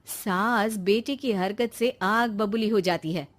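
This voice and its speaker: A woman's voice: mid-range voice, slow. A woman narrating a scripted family story.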